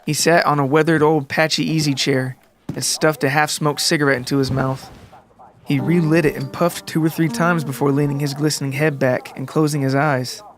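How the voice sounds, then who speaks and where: A man narrating a scripted literary story for a podcast in a deliberate, dramatic, descriptive way. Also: on the high side. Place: close, dry recording with music underneath.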